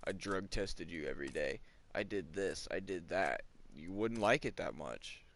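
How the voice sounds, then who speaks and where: A man's voice: sits low, animated, unhurried, vocal fry, fairly bright tone. A man of twenty chatting casually while playing a video game, ranting and complaining with chuckles between remarks. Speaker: gamer. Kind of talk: casual rambling chat over gameplay.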